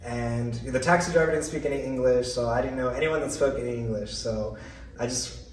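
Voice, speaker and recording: A man from California telling his story casually, relaxed and full of fillers. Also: mid-range voice, fairly quick. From California, USA. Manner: relaxed, chatty, many "like" and "you know" fillers. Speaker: guest. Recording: roomy, distant mic, faint noise.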